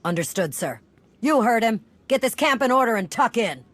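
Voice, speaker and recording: An actress playing a brisk female army sergeant in a video game, reporting in a crisp, dutiful manner. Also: on the high side, big pitch swings. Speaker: soldier. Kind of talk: video game cutscene acting.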